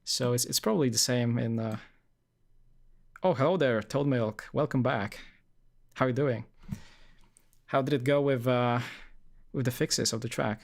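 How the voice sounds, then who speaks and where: A man's voice: medium pitch, unhurried, vocal fry, pauses often. A young man from Poland chatting casually on a live stream, rambling with lots of fillers and restarts.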